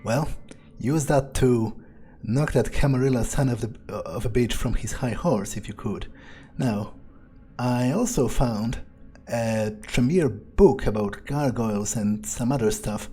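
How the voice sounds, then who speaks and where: A man improvising in-character game commentary as a scheming vampire, musing aloud in a deliberate, self-assured way. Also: medium pitch, mellow. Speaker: gamer playing in character. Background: faint game music.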